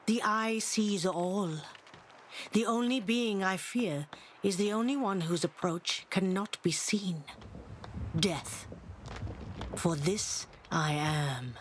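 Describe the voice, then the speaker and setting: Slow, portentous elder seer woman. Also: on the low side, a little hiss or hum. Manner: portentous, haughty, deliberate. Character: proud, mysterious elder seer woman of travelling folk. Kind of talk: fantasy game dialogue acting.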